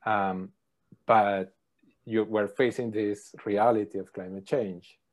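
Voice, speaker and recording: A middle-aged man, a professor answering interview questions thoughtfully, pausing often with ums. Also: fairly low pitch, steady tone. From Colombia. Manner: thoughtful, hesitant with many ums. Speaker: professor, podcast guest.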